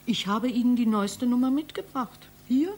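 A woman's voice: a little high, moderate pace, darkish tone, a little hiss or hum, natural voice. A woman acting in a German radio play as an officious, unruffled receptionist, formal, insistent and reproachful. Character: officious, unruffled female receptionist guarding a director's anteroom.

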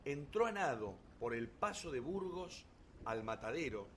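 A man's voice: mid-range voice, very animated, a little slow, fairly bright tone. Male radio-theatre narrator, faint background noise.

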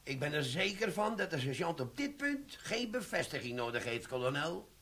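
An actor playing a sly, flirtatious army sergeant in a radio play. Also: male voice, on the high side, big pitch swings, normal speed, pushed and bright, heavily acted. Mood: formal. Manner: cheeky, flirtatious, conspiratorial. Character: sly scheming middle-aged army sergeant. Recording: pub chatter behind.

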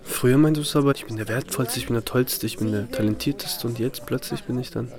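An actor playing a hurt, insistent younger man in a naturalistic radio drama, speaking in a halting, repetitive, pleading way. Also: mid-range voice, a crowd in the background, close to an everyday voice. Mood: hurt, offended. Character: wounded, insistent younger man confronting an older man.

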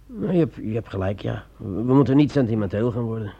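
An actor playing a brave, sceptical sergeant in a Dutch radio drama, hesitant and repeating himself when pressed. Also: male voice, fairly low pitch, animated, quick pace, vocal fry, breathy edge, highs cut off, heavily acted. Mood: hesitant, pressured.

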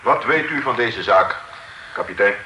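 An actor playing a presiding magistrate in an old radio play, speaking in stiff, formal courtroom phrases. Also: male voice, fairly low pitch, animated, moderate pace, background noise, natural voice. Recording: roomy old radio recording.

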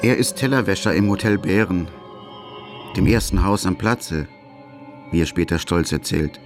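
Gravelly, lowish male documentary narrator. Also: normal speed. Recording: music under narration.

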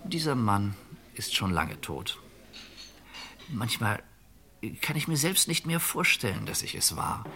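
An actor playing a calm, resigned middle-aged fugitive in an old radio crime drama, measured and reflective. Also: male voice, fairly low pitch, creaky, heavily acted. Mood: weary, philosophical.

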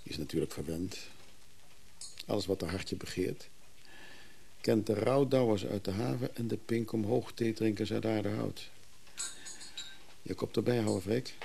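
An actor playing an obliging but guarded antique dealer in a Dutch radio drama, measured and understated. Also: male voice, on the low side, gravelly, dark tone, slight background noise. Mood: wary, inward.

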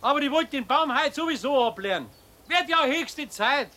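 An actor playing a puzzled, good-natured older neighbour with a homely Bavarian accent in a 1970s children's radio play. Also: male voice, very high-pitched, lively intonation, normal speed, bright, forceful tone, slight background noise, exaggerated voice. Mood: chatty.

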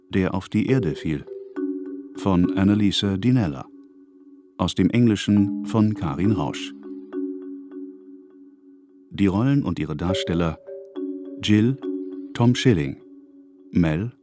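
Male announcer, very deep, slow formal delivery, xylophone and marimba music clearly behind. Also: lively intonation. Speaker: announcer reading credits. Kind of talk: broadcast announcement. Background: mallet percussion music.

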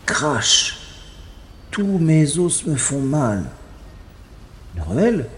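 A man's voice: a little high, sing-song, extremely slow, heavily acted. A man playing a cocky, wry special agent who narrates his own scrapes theatrically in a science-fiction audio drama. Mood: groggy, pained. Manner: theatrical, slangy, self-narrating. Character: wry, cocky male special agent, talking himself through trouble. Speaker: lead actor in an audio drama. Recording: music under the voice. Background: music.

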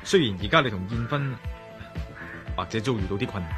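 A man's voice: lowish voice, animated, brisk, over music, close to an everyday voice. An actor playing a concerned, persistent man investigating a mystery in a Cantonese radio drama, with a Hong Kong accent. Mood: coaxing. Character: concerned, persistent male investigator, earnest and coaxing.

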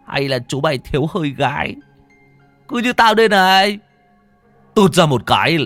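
A crude village drunk in an audio story, acted out by a man. He shouts brash taunts in a loud voice that jumps up high. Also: expressive, moderate pace, soft background music. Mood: drunken, jeering.

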